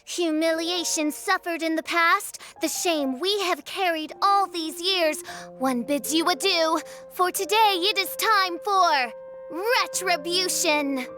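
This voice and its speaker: A melodramatic self-styled young princess, very high and sharp in tone. She speaks in pompous, archaic phrases and flusters easily, over game cutscene music. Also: takes it slowly, heavily acted. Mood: grandiose. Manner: pompous, archaic theatrical diction. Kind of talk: video game cutscene dialogue. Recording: background music under voice.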